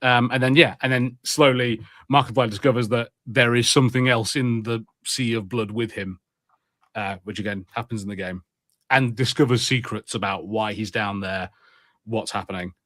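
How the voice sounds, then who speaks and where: A man co-hosting a casual podcast, talking in long animated rambles at a medium pitch. His voice is recorded dry and close, with no room sound. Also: normal speed, no echo. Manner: rambling, animated, laughs easily. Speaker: podcast co-host.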